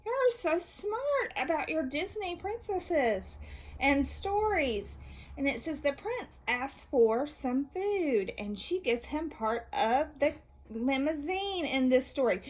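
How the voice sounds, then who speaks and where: A woman with a high, very expressive voice speaks as a teacher to young children. She takes her time, in an encouraging read-aloud style, posing simple questions and confirming the answers. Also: measured pace, rough recording. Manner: encouraging, praising, asks questions and answers them.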